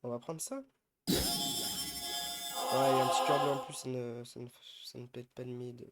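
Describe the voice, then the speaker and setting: A man's voice: mid-range voice, steady tone, normal speed, loud music behind the voice. A man with a head cold giving casual gameplay commentary as a streamer, thinking aloud as he counts and weighs his choices. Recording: loud background noise.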